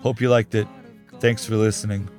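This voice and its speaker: A man's voice: lowish voice, little pitch movement, moderate pace, a little mellow, faint music behind. A man hosting a podcast, giving frank, conversational opinions in a casual solo talk while losing his voice. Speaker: podcast host.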